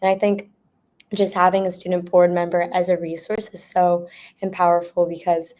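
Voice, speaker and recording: A woman's voice: lowish voice, flat delivery, normal speed, darkish tone. A young woman speaking as a podcast guest in a conversational panel discussion. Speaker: student board representative, podcast guest.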